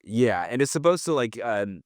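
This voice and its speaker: A man's voice: mid-range voice, sing-song, moderate pace, no room sound, clean studio sound. A man co-hosting a podcast in casual conversation, giving slangy opinions and thinking aloud. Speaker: podcast co-host.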